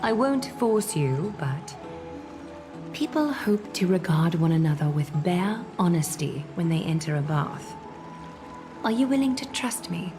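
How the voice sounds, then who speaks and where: An actress voicing a composed, courteous noblewoman in a fantasy video game, speaking in a poised, formal, gently teasing manner. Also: fairly low pitch, big pitch swings, unhurried, music in the background. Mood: inviting. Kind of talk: scripted video game voice acting.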